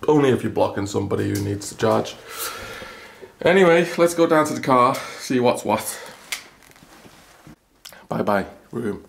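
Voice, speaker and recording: Gravelly-voiced man vlogging on the move. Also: mid-range voice, animated, moderate pace, long pauses, slight background noise. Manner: chatty, rambling, self-deprecating. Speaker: travel vlogger. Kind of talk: casual handheld vlog narration. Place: apartment, stairwell and icy outdoor car park. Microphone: handheld camera mic.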